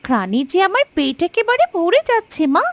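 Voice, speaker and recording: A woman's voice: very high voice, wildly swooping pitch, brisk, no room sound, exaggerated voice. A woman voicing an eager, sweet little village girl in a cartoon folk tale. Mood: delighted. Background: background music.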